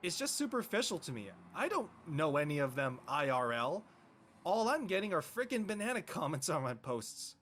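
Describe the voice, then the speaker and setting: A man playing an exasperated, reluctant high-school boy in an amateur script reading, with a whiny, protesting manner. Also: very animated, moderate pace, a bit bright, a little hiss or hum. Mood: fed up. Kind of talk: amateur audio drama table read.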